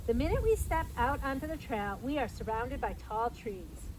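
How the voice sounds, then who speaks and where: A woman's voice: on the high side, lively intonation, normal speed. A middle-aged woman reads a picture book aloud to young children outdoors, adding simple explanatory asides. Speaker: storytime reader, parks staff. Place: outdoors at an open trailhead by a fence. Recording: outdoor recording with clear background noise.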